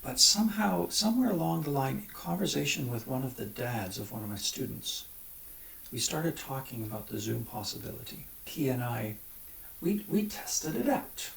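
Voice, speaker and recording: A man talking casually in an interview as a teacher looking back on his work, with an expressive way of telling it. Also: mid-range voice, moderate pace, gravelly. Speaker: private violin teacher. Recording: roomy, distant mic, faint noise.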